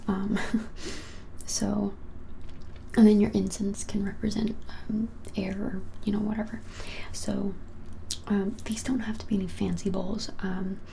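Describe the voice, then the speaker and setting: A woman in her twenties whispering a casual ASMR shopkeeper roleplay in a breathy, halting, helpful way. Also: mid-range voice, flat delivery, a little hiss or hum, rough recording. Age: 20s. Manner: soft, hesitant, halting, helpful explaining. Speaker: ASMR creator playing a witch shop keeper. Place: small plain indoor room.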